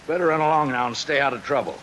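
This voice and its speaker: A man's voice: on the high side, fairly bright tone, lightly acted, poor audio. A man acting a condescending, folksy older constable in a 1950s radio drama. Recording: hissy old radio recording under rain sounds.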